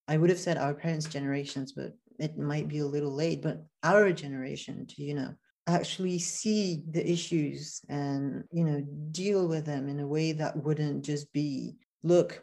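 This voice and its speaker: A woman's voice: low voice, steady tone, moderate pace, gravelly, few pauses, mellow. A woman interviewing a guest, speaking in a hesitant way with many fillers and restarts. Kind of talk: conversational interview.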